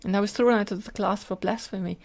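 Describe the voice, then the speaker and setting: Creaky older Scottish woman telling stories. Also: mid-range voice, steady tone, fast talker, a little mellow. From Scotland. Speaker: podcast guest, teacher and lecturer. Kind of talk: personal storytelling in interview.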